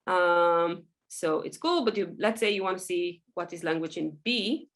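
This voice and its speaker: A woman, a Hebrew speaker, giving a loose, chatty software workshop full of ums and small laughs. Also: on the low side, expressive, a little slow. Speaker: workshop presenter. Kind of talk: casual software tutorial over screen share.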